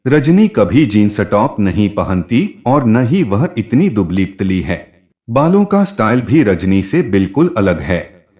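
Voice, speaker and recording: A man's voice: on the low side, big pitch swings, slow pace. Man voicing an emphatic, nosy woman neighbour, muffled. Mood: suspicious. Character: caring, suspicious, indignant woman neighbour. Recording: dull, muffled lo-fi audio.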